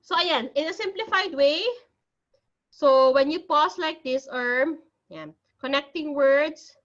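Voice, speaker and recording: A woman with a Filipino accent explains exam tips to her class in a bright, forceful voice that sits a little high. She reads out sample answers as she goes. The sound is dull and lo-fi, like a video call. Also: slow pace. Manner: explains in mixed Tagalog and English, reading out sample answers. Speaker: IELTS speaking teacher. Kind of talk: online class lecture.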